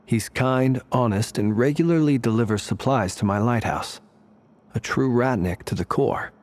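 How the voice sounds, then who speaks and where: A man playing a wry, easygoing warrior who guards a lighthouse in a video game, speaking in a measured way. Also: medium pitch, darkish tone, close to an everyday voice. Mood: earnest.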